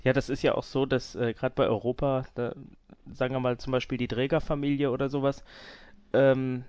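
A man's voice: medium pitch, level tone, a little fast, darkish tone, clean tone, no echo, muffled recording. A man hosting an interview, asking questions in a conversational style. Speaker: interviewer, show host.

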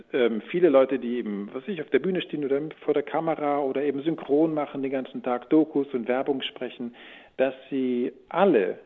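A man giving casual interview answers in a searching way, often restarting and rephrasing. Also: medium pitch. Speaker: audio drama producer and voice-over speaker. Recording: thin phone-like line.

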